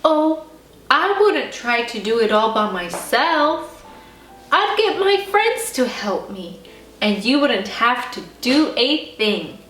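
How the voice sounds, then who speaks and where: A woman's voice: fairly high pitch, sing-song, takes it slowly, a bit bright, full character voice. A woman voicing an earnest, confident early-teen girl full of faith in a children's storybook reading. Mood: eager. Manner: earnest, insistent. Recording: roomy, distant mic, faint music.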